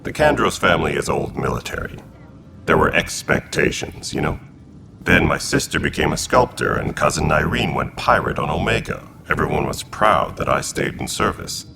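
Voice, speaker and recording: A man's voice: extremely low pitch, animated, moderate pace, gravelly, heavy background noise, heavily acted. An actor playing a frank male alien militia commander from an old military family.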